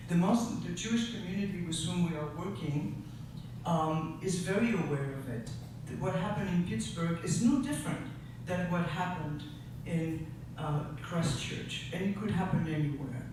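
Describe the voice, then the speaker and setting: A man in his sixties from Europe, a teacher speaking earnestly at a public forum, with passion and halting restarts. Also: high-pitched. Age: 60s. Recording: echoey room, distant mic, noisy.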